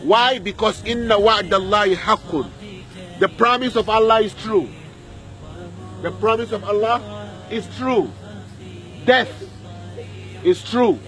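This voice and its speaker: A man's voice: high-pitched, takes it slowly, pauses often, pushed and bright, soft background music, poor audio. Man preaching a graveside sermon outdoors. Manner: repetitive, questioning the listeners, quotes Arabic verses.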